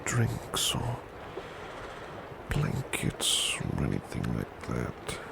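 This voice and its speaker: A man playing a big, burly, devoted butler in a soft ASMR roleplay, murmuring in a breathy, polite, tender and protective way. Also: lowish voice, huge pitch swings, extremely slow, heavy background noise, exaggerated voice. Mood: sleepy, soothing. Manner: polite, formal, tender, reassuring.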